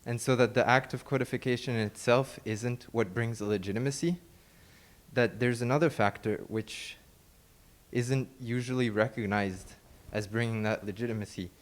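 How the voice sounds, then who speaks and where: A young man, a student guest on an academic panel, explaining his research in a measured, thoughtful way. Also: mid-range voice, steady tone.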